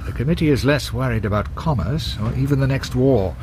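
A man's voice: medium pitch, expressive, a little mellow, a little hiss or hum, close to an everyday voice. A man playing a stern, sceptical, formal British brigadier with a British accent in a radio drama. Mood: grave, formal. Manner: formal, pompous, measured wording.

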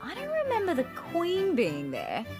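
High, lilting Australian cartoon dog mum. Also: female voice, wildly swooping pitch, normal speed, over loud music, heavily acted. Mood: teasing. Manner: dry, teasing. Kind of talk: animated series voice acting.

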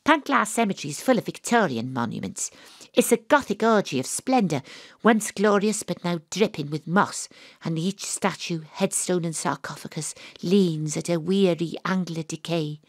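An actress playing a sympathetic, self-mocking private detective, narrating an audio drama in a theatrical, wry manner. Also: female voice, fairly low pitch, expressive, moderate pace, no echo, clean studio sound. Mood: atmospheric, florid. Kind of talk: first-person audio drama narration and dialogue.

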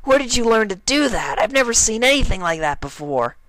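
A man voicing a brash, excitable young female pegasus pony from a cartoon, gushing and exclaiming. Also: high voice, brisk, dry recording, pitched above the performer's own voice. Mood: excited, awed.

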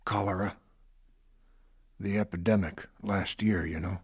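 A man playing a gruff, irritable estate manager with a blunt, grumbling manner in an audio drama. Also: low-pitched, steady tone, measured pace, no echo, studio quality, exaggerated voice. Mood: subdued, grieving.

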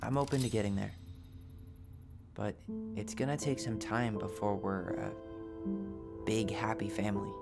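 A man's voice: on the low side, fairly even intonation, normal speed, gravelly, pauses often, over music. An actor playing a guarded, wounded young man in a video game, blunt and understated in delivery. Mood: guarded, bitter. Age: young adult.

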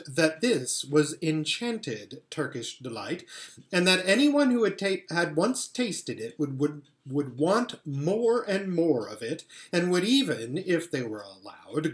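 Animated middle-aged man reading aloud. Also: a little high, reverberant. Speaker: storybook reader. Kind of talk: reading a children's novel aloud. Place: living or dining room at home, indoors.

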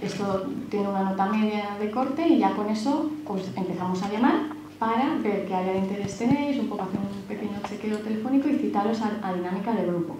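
A woman's voice: medium pitch, fairly quick. Woman in a very echoey hall. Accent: Spain. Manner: reassuring, frequent checking tag "¿vale?". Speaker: recruiter speaking at a careers talk. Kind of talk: informational presentation to students. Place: large auditorium, panel table. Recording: very echoey hall, some noise.

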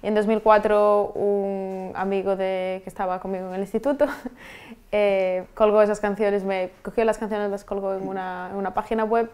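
A woman, answering interview questions in a relaxed way with frequent restarts, recorded in a studio on a lapel mic. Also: mid-range voice, moderate pace. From Vigo, Galicia, Spain. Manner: relaxed, many restarts and trailing-off endings. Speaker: guest rapper and software engineer. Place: TV studio set.

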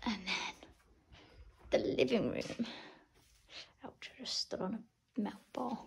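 A woman's voice: fairly high pitch, takes it slowly, creaky, background noise. A woman casually showing viewers around an empty house, recorded on a handheld phone. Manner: chatty, narrating as she walks, often drops to a whisper. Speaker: vlogger touring a house. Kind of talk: casual home tour. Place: empty new-build house, bare rooms. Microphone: phone held while filming.

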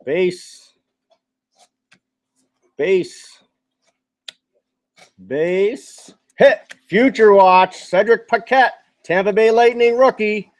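A man's voice: high-pitched, extremely slow, pauses often. A man hosting a card box break, announcing each item in clipped, short bursts. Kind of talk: live card-opening commentary, terse announcing. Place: card shop with onlookers seated nearby.

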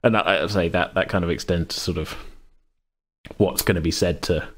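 A man's voice: low-pitched, steady tone, normal speed, a bit bright. A man talking casually as host and game master, in a rambling, hesitant, self-correcting way. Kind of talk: casual chat about a tabletop game.

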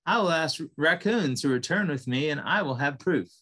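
A man's voice: on the high side, expressive, normal speed, a bit bright. A man voicing a small, busy young male mouse in a told story, asking things in an earnest, eager way over a video call. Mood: determined.

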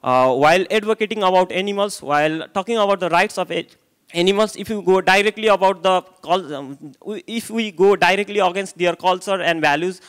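A young man with a Nepali accent speaks into a handheld microphone at a conference. His voice sits fairly high and sounds clean and smooth. He hesitates often, filling pauses and restarting phrases. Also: a bit bright. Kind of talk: conference presentation. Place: hotel conference room, indoors.

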